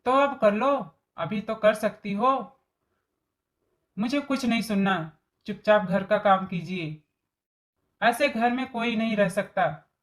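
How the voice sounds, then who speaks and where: A scheming young daughter-in-law from a storybook tale, acted by a woman narrator. She scolds and gives orders with a short temper, at an unhurried pace. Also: medium pitch, close to an everyday voice. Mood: bossy, scolding. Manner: commanding, scolding.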